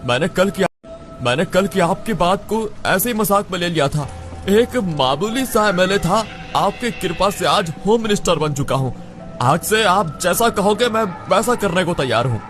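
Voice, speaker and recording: A man's voice: high voice, lively intonation, moderate pace, fairly bright tone. A man playing a government minister in a cartoon story, in an acted, emphatic way. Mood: fawning, humble. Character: government minister, abruptly questioning, then fawning devotee. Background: background music.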